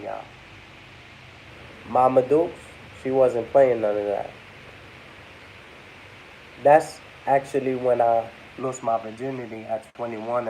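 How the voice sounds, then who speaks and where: Young man, stuffy and a bit under the weather, mellow tone, loose rambling vlog chat, faint hiss. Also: medium pitch, poor audio. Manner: rambling, addresses viewers as y'all. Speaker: vlogger. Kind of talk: casual talk to camera.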